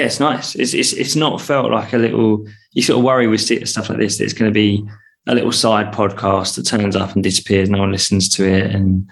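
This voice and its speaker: A man's voice: fairly low pitch, creaky. A British man, an interview guest, chatting casually and informally with some swearing. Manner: informal, sweary, uses tag questions. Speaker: comedian and actor, interview guest. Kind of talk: casual chat.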